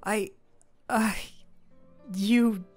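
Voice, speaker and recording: A young woman playing a shy, smitten former coworker in an intimate romantic audio roleplay, speaking softly and haltingly. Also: mid-range voice, fairly even intonation, soft background music. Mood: shy, flustered. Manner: shy, halting, soft-spoken.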